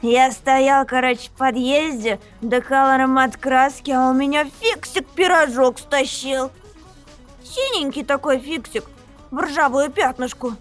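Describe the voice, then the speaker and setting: A woman's voice: sits high, a little slow. A woman voicing a stoned young male co-author who tells dreamy nonsense stories. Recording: faint background music.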